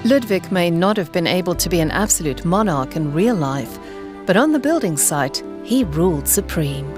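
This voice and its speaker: A woman reading scripted documentary narration in a measured, explanatory way. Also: lowish voice, animated. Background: background music.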